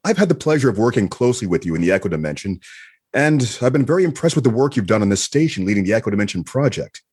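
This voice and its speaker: Husky, measured male admiral, acted. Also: on the low side, big pitch swings, a little mellow. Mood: calm, amused. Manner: measured, reassuring. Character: calm, benevolent male admiral in space. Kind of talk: audio drama acting.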